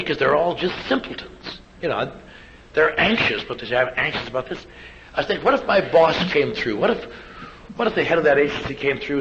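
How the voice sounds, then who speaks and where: A man's voice: medium pitch, a bit bright. An older man giving a plainspoken personal testimony as a speaker, telling anecdotes with wry asides. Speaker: speaker at a recovery convention. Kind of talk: personal testimony speech to an audience. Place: live convention talk. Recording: dull, lo-fi live recording, faint noise.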